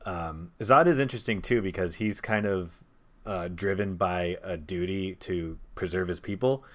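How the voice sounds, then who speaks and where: A man's voice: low-pitched, moderate pace. A man hosting a podcast, leading a casual discussion about movies.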